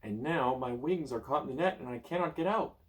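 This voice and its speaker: A man voicing a gentle, polite male winged horse while reading a storybook. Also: medium pitch, a little fast, close to an everyday voice, rough recording.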